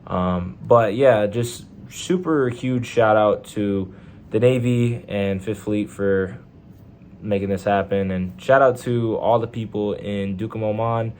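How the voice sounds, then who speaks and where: Laid-back Texan man, lowish voice. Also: takes it slowly, a little mellow, a little hiss or hum. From Texas, USA. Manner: laid-back, rambling, slangy with fillers. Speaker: vlogger, Navy sailor. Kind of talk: casual vlog monologue. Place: hotel room.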